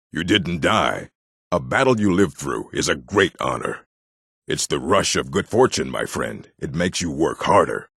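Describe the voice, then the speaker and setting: An extremely low-voiced orc from a fantasy video game. He is proud, stern and blunt, with heavy gravel in the throat. The recording is close and dry. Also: fairly bright tone, no room sound, full character voice. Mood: solemn, earnest, then wistful, subdued. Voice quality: gravelly, husky. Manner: blunt, stern, clipped phrasing. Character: gruff proud orc warrior and blacksmith, adult male.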